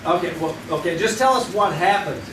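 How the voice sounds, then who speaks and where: An eager, amused friend, played by a man. His voice sits fairly high and distant, with a roomy live-stage sound and hiss. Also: animated, fairly quick. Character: curious friend, Mitch, hearing the story.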